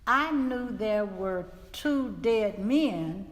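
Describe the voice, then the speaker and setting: A woman's voice: medium pitch, animated. A woman playing a grieving 19th-century widow, recounting events solemnly in a dramatic reading. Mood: somber, measured. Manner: slow, deliberate, solemn storytelling. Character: grieving widow recounting her husband's murder, 1875 Mississippi. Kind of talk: dramatic reading of historical testimony. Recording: reverberant hall.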